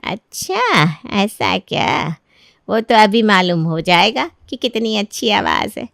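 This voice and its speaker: A woman voicing a nosy middle-aged neighbour auntie in a storybook tale, teasing and effusive, with drawn-out words. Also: mid-range voice, big pitch swings, gravelly, fairly bright tone, no room sound, studio quality, heavily acted.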